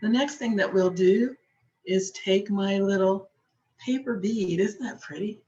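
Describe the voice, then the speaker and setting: Woman, craft instructor, unhurried, slightly hoarse, dull lo-fi camera audio. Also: medium pitch, dark tone. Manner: patient, chatty, talks while working with her hands. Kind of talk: slow step-by-step craft demonstration.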